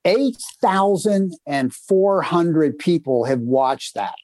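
A man's voice: fairly high pitch, expressive, slow, a little mellow, no room sound. A man co-hosting a podcast in casual chat, chatty and self-mocking. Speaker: podcast co-host.

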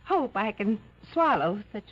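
A woman's voice: medium pitch, sing-song, unhurried. A woman playing a sweet, hesitant, grateful customer in a mid-century radio drama, fluttery and polite. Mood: grateful, flustered. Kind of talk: 1950s-60s radio drama acting. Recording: dull, hissy old radio recording.

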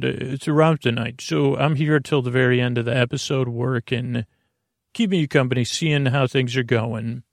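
A man with a mid-low, crackly voice hosts a sleep podcast, recorded dry with no room sound. He improvises as he goes, stumbling over repeats and drifting into silly tangents. Sentences meander and trail off. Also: gravelly, dry recording. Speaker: podcast host.

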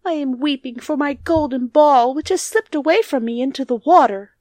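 A woman's voice: high voice, normal speed, a little mellow, dry recording, close to an everyday voice. A woman voicing a spoiled, haughty young storybook princess in a dramatic, girlish, formal manner. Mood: tearful indignation. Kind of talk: storybook character voice.

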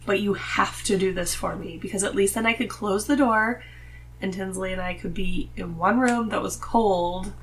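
A woman's voice: mid-range voice, moderate pace, low-quality recording. A woman with a nasal voice, talking casually as a podcast guest. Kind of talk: casual conversational chat. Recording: noticeable background noise.

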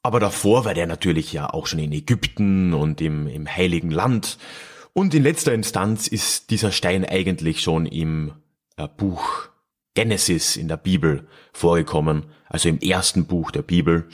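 Very low-voiced chatty podcast host. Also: male voice, moderate pace. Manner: chatty, chuckling asides, hesitant fillers. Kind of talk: casual solo podcast narration.

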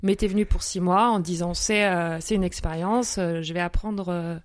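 A woman's voice: on the low side, steady tone, moderate pace. A woman hosting a podcast, reading a scripted intro and then interviewing with many hesitant fillers. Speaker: podcast host.

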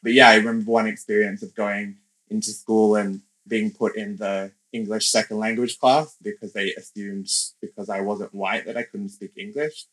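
A young podcast guest from Australia speaks in a low, level voice. He talks thoughtfully and casually, pausing often and filling gaps with "like" and "I guess". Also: little pitch movement, poor audio. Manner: reflective, soft-spoken, many fillers. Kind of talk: casual interview talk.

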